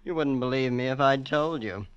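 A man's voice: medium pitch, moderate pace, smooth, muffled recording, natural voice. An actor playing a casual, nonchalant young rich man in a 1940s radio drama. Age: young adult. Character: nonchalant young heir to a secret fortune.

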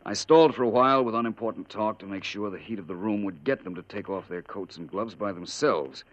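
Deep-voiced American male sleuth played by a radio actor: shrewd, courteous, measured. Muffled vintage radio sound. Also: close to an everyday voice. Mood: composed, explaining. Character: composed, shrewd gentleman detective recovering in hospital. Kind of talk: 1950s radio drama acting. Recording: dull old radio recording.

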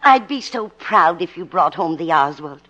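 An actress playing a fond, indulgent elderly mother who gently scolds, in a 1950s radio sitcom style. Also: on the low side, expressive, moderate pace, dull audio. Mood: fond, encouraging. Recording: noisy old radio recording.

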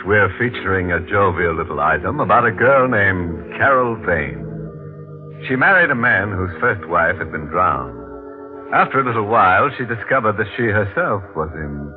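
An actor playing a gleefully macabre, mocking horror host on a 1940s radio show, theatrical and tongue-in-cheek in a drawn-out delivery. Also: male voice, low voice. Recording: muffled old radio recording, loud organ music.

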